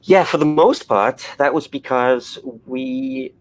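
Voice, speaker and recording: A man's voice: medium pitch, slow. A middle-aged man from Wales, an animation director being interviewed, who talks in a rambling way with many restarts and fillers. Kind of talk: conversational interview.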